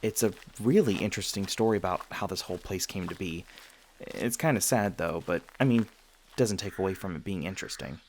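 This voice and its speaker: A man acts out a friendly young vampire showing a listener around a university in an ASMR roleplay. His voice sits very low with a strong gravelly creak. He talks casually and conversationally, with gentle, teasing jokes. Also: fairly quick, a little hiss or hum. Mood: easygoing, informative. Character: friendly, teasing male vampire university student and tour guide.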